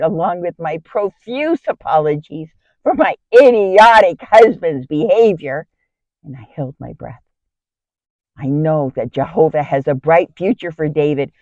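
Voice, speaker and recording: A woman's voice: on the low side, dry recording, muffled recording. A woman playing a kind, brave biblical wife in an earnest, theatrical dramatic monologue. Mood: fearful, awed, then emphatic. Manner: theatrical, earnest, emphatic storytelling. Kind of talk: dramatic first-person Bible monologue.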